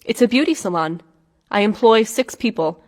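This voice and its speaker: Woman, scripted lesson dialogue, clear measured reads; long hall reverb on the track. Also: mid-range voice, lively intonation. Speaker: dialogue reader in English lessons.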